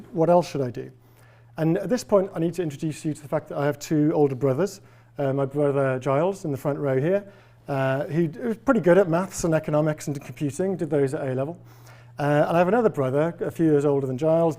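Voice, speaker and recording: Man in his forties, faint Welsh accent, slightly high and warm-toned; relaxed, wry lecturer. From Wales. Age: 40s. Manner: conversational, wry and self-deprecating. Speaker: professor giving a lecture. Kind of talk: public lecture. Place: lecture hall with a live audience.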